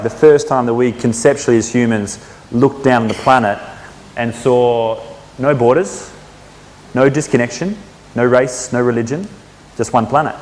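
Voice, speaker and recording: A mid-pitched man hosts an event in a casual, chatty way, drawing the audience in with questions. His voice rings through a reverberant hall. Faint room noise sits underneath. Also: a little mellow. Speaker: event host. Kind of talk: casual facilitating at a networking event.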